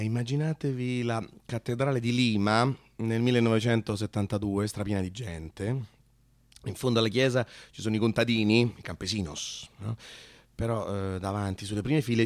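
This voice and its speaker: A man with a Roman accent telling an autobiographical story as a theatrical monologue, colloquial and animated, with hesitations. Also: fairly low pitch, moderate pace, no echo. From Italy. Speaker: actor and storyteller.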